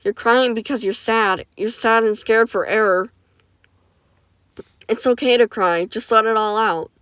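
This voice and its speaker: A calm, caring male medic talks in a steady, gentle, reassuring way, read by a woman in her own voice. The sound is smooth and clear, recorded close and dry. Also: medium pitch, a little fast, no room sound, close to an everyday voice.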